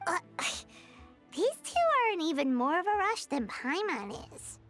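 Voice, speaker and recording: Squeaky, piercing little fairy. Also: female voice, very animated, measured pace, fairly bright tone, soft background music, heavily acted. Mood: whiny. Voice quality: squeaky, childlike. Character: tiny childlike female fairy companion, whiny and excitable. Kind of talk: video game voice acting.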